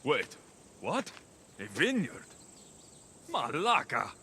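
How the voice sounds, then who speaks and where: Young mercenary, wry, very swooping pitch, faint outdoor nature noise, video game. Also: male voice, wildly swooping pitch, takes it slowly, sharp tone, full character voice. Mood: incredulous, dry. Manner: wry, defiant. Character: gruff, sardonic young male ancient Greek mercenary. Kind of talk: video game character acting.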